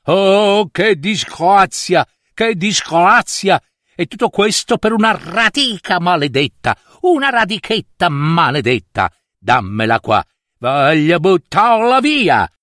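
A man's voice: medium pitch, lively intonation, very slow, a bit bright, dry recording, studio quality, heavily acted. A man voicing a beleaguered, irascible king in a fairy tale, exclaiming and repeating himself dramatically.

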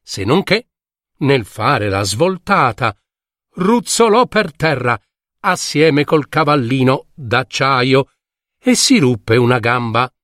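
A man telling a fairy tale in an expressive, theatrical way, lingering on repeated words. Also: mid-range voice, slow pace, no echo, studio quality. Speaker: storyteller.

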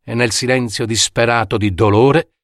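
A man narrating a scripted fairy tale as a storyteller, in a theatrical, measured way with suspenseful pauses. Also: medium pitch, steady tone, no echo, clean studio sound. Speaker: storyteller and author reading his own fairy tale.

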